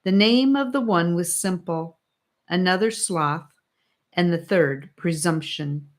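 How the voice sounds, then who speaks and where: A woman narrating an old religious allegory as an audiobook, with measured, solemn storytelling. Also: on the low side. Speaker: audiobook reader.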